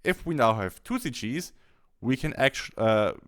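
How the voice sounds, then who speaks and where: A man narrating a software tutorial, explaining things step by step. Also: mid-range voice, animated, moderate pace. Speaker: tutorial host. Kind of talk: software tutorial narration over screen recording.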